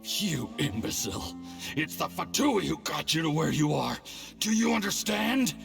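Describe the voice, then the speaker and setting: An actor playing an arrogant, scheming foreign envoy in a game cutscene, with a swaggering, threatening manner. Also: male voice, a little high, sing-song, normal speed, vocal fry, music in the background, exaggerated voice. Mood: angry. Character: scheming middle-aged male Fatui envoy, arrogant.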